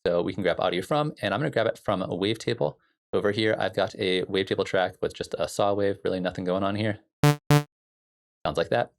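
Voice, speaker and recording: A man giving a casual software tutorial as a music production instructor, explaining things in an informal way. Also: deep voice, a little fast, creaky.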